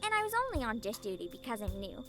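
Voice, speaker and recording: A young woman playing a spirited, teasing little sister in a video game, casual, sassy and expressive. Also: a little high, very fast, soft background music. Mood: weary.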